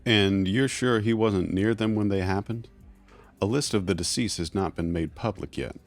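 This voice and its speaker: Male actor as smooth, guarded fixer: very low voice, formal and condescending, audio drama with music underneath. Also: moderate pace, close to an everyday voice. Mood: cool, courteous. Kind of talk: scripted audio drama acting.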